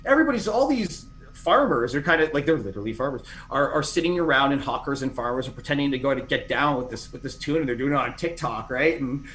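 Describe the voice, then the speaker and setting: A man's voice: medium pitch, expressive, quick pace, fairly bright tone, poor audio. A middle-aged man, a podcast guest, talking casually and rambling through stories with many restarts and fillers. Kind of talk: casual interview chat.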